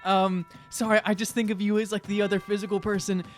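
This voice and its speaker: A man with a fairly high voice plays a tabletop role-playing game. He talks casually and haltingly, with a wry, jokey manner, over some background music. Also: level tone. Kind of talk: casual role-play chat.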